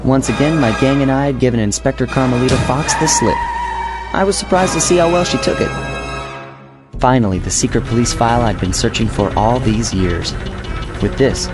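A man voicing a suave, cocky young raccoon master thief in a video game, with smooth, wry delivery. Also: fairly low pitch, lightly acted. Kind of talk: video game character acting and narration. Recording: game dialogue over music.

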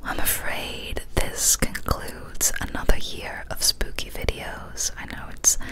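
A young woman whispering softly and breathily, gently and soothingly, close to the microphone in an ASMR style. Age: young adult. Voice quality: soft, breathy unvoiced whisper. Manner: slow, soothing, gentle delivery. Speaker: ASMR host. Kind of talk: ASMR whispered story reading and chat. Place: small decorated room indoors. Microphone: close studio microphone on an arm.

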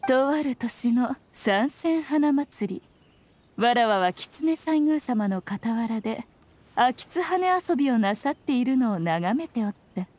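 A woman's voice: on the high side, lively intonation, takes it slowly, darkish tone. A woman voicing a playful, commanding ancient fox spirit lady in an anime game, speaking in an archaic, self-assured, teasing way. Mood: composed, reflective. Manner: archaic, lordly old-fashioned speech, teasing and self-assured. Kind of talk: Japanese anime game voice acting.

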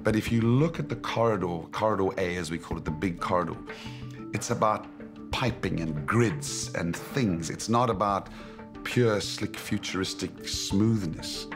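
A middle-aged man giving a behind-the-scenes film interview, speaking deliberately and with emphasis. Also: sits low. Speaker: film director. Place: interview on a film set, indoors. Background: music.

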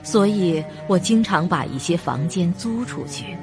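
Eerie low-voiced landlady of an old mansion. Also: animated, unhurried. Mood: composed. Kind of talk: horror radio drama narration and dialogue. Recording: music behind the voice.

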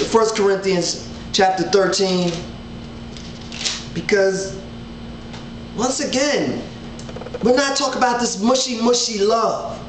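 A man's voice: high voice, a little hiss or hum. A man in his thirties giving a casual Bible study talk to an online audience from his living room, earnest and full of gesture, often repeating words as he gathers his thoughts. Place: living room at home, indoors. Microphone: webcam at desk distance. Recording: roomy home webcam audio.

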